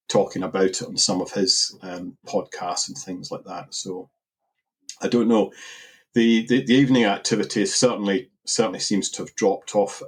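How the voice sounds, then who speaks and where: Husky-voiced Edinburgh man, rambling. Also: on the low side. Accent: Edinburgh Scottish. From Scotland. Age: middle-aged. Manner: rambling, repeats words while searching for them. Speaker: guest angler. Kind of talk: relaxed podcast interview.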